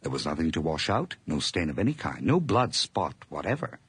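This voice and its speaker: An actor playing a mad, nervous murderer confessing in an old radio horror drama, theatrical and intense with whispers and outbursts. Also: male voice, extremely low pitch, very animated, a little fast, husky, dry recording, exaggerated voice. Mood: sly pride.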